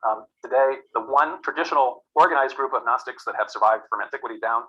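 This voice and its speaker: Man lecturing to a class, rapid delivery, bright tone, rambles with fillers; dull lo-fi recording. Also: mid-range voice. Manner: rambling, many fillers and restarts.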